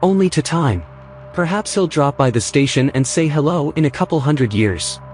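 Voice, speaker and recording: A man's voice: a little high, animated, normal speed, a little mellow, natural voice. A man playing a fussy, reluctant artificial intelligence in an audio drama, sardonic and easily exasperated. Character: fussy, reluctant male artificial intelligence, anxious and put-upon. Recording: music behind the voice.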